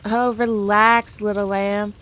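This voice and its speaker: A woman's voice: medium pitch, little pitch movement, slow, a bit bright. A woman playing a teasing, condescending demon queen in an ASMR roleplay audio drama, taunting the listener with haughty playfulness. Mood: smug, composed. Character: all-powerful demon queen, smug, dominant, teasing, condescending.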